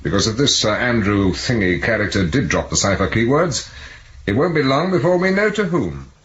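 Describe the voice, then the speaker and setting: A man playing a gruff, impatient police superintendent in a 1970s radio drama, speaking in a clipped, authoritative way. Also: on the low side, very animated, normal speed, fairly bright tone, exaggerated voice. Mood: impatient, probing. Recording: dull, noisy 1970s radio recording.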